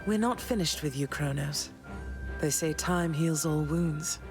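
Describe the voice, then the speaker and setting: A woman's voice: low-pitched, unhurried. A woman playing a stern, regal goddess queen and mother in a video game. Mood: stern, cold. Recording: loud music over the voice.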